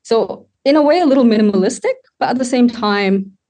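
A young woman from Sri Lanka, a wedding photographer, talking casually as a guest and pausing often to find her words. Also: a little high, animated, mellow. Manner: thoughtful, searching for words with many fillers. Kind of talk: casual podcast conversation.

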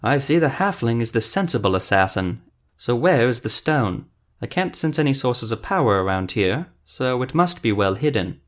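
A man plays a menacing sorcerer for a fantasy audiobook. He is sardonic and mocking, questioning with cool threat in an average-pitched voice. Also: very animated, normal speed, lightly acted.

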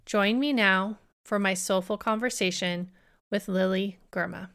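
A woman hosting a travel podcast, soft-spoken and earnest, reading an intro and then asking questions with a few ums. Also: mid-range voice, a little slow. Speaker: podcast host. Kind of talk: podcast interview.

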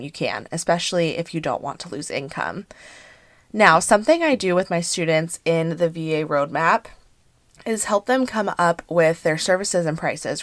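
Woman, 30s, podcast host; hoarse and a bit stuffy; lowish pitch, dry close sound, chatty and relaxed. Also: no room sound. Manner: chatty, friendly, frequent "um" and "like". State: hoarse and congested from allergies. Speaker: podcast host and business coach. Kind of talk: casual solo podcast chat.

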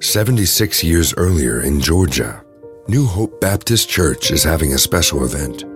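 A man's voice: rumbling low, animated, moderate pace, soft background music. A man narrating an audio drama in a scripted storytelling style.

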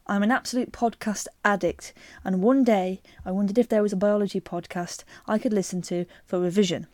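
A woman co-hosting a chatty podcast, lively and quick to laugh. Her voice is mid-pitched and sits close and dry, with no room sound behind it. She moves easily between banter and reading a listener's letter aloud. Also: dry recording. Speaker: podcast co-host. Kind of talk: casual chat and reading a listener email.

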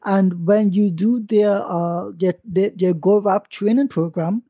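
A man's voice: high-pitched, steady tone, a little slow, warm tone, dry recording. A man on a live stream panel, informally giving callers advice and pointing them to resources. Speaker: panelist answering callers' questions. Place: online stream. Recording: dull, lo-fi online call.

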